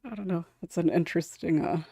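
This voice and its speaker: A woman's voice: on the low side, fast, vocal fry, warm tone, slightly rough, dry recording, studio quality. A woman hosting a casual podcast panel over an online call, with an informal, giggly, hesitant manner. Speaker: podcast host. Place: online voice call, dry close recording. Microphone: own microphone with noise suppression.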